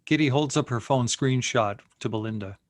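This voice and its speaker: A man's voice: mid-range voice, normal speed, dry recording. A man reading out scene headings and stage directions as narrator of a screenplay.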